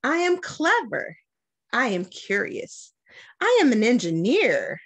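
Animated American woman reading aloud, slow pace. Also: on the high side. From Detroit, United States. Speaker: school principal reading aloud to children. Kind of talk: storytime picture-book read-aloud. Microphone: webcam at about arm's length.

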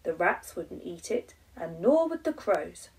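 Slow, creaky-voiced English woman reading a storybook. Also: lowish voice, very animated, a little mellow, far from the mic, rough recording. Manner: dramatic emphasis on rhyming verse. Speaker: primary school teacher. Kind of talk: expressive children's storybook reading.

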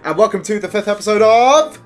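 A man's voice: high-pitched, lively intonation, a little fast, faint music behind. A young man hosting a casual, partly scripted video discussion. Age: young adult. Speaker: host.

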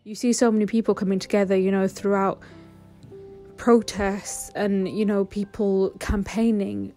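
A woman's voice: medium pitch, flat delivery, moderate pace, mellow, faint music behind. A woman speaking in a thoughtful, conversational way into a studio mic in a room by large windows. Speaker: interviewee. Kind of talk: reflective interview. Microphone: studio mic on boom arm.

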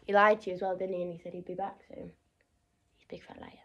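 A woman's voice: on the low side, little pitch movement, quick pace, vocal fry, dull audio. A young woman vlogging from home in a chatty, rambling way, often sinking to a near-whisper. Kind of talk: casual vlog chat. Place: home living room, indoors. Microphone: phone camera held close.

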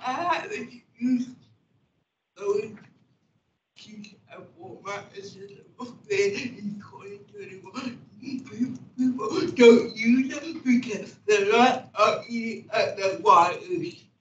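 A woman speaking slowly during public comment at a meeting, halting and repeating words. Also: a little high, animated, a little hiss or hum. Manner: slow and halting, with many repeats. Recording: echoey, distant, muffled meeting audio.

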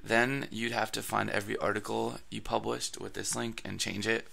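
A man hosting a screen-recorded blogging tutorial, his voice raspy from allergies, talking casually with plenty of fillers and restarts. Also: on the low side, flat delivery, normal speed, pushed and bright.

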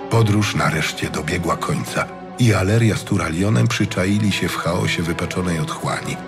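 A man reading scripted dramatic fantasy narration in a measured, solemn storytelling manner. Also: on the low side. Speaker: audiobook narrator.

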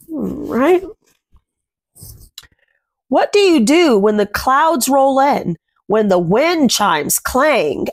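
A woman in her thirties reading a picture book aloud to children, commenting and putting questions to young listeners in simple, deliberate phrases. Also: a little high. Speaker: children's read-aloud host. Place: small furnished room at home.

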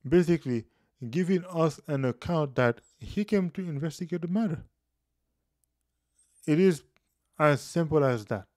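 A man's voice: fairly high pitch, measured pace, long pauses, no echo, studio quality. A man in his thirties explaining scripture casually in a video, gesturing as he talks. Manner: explanatory, animated hand gestures. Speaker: Bible teacher. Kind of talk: casual Bible study video. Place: small room indoors. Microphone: studio mic on boom, headphones.

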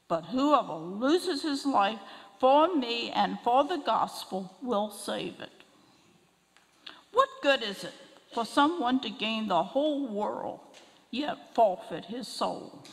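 An older woman reading scripture aloud at a church lectern, slow and careful. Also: fairly high pitch, expressive. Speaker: scripture reader. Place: large church sanctuary, at a lectern. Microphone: lectern microphone. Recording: long reverb of a large church hall.

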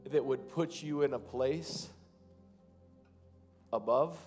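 A man in his thirties, a pastor, preaching and then praying over his congregation from a church stage, with loose, halting phrasing that rises to fervent prayer. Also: mid-range voice, a little mellow, faint music behind. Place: large church stage, indoors.

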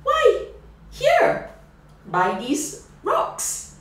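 A woman's voice: very high-pitched, huge pitch swings, extremely slow, pitched above the performer's own voice, heavily acted. A woman voicing a small, clever mouse who is polite and bold, in an echoey room from a distant mic. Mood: cheeky.

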